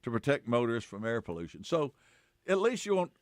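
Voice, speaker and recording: Man, radio co-host, casual banter, folksy and halting, animated mid-range pitch, dry studio sound. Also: dry recording.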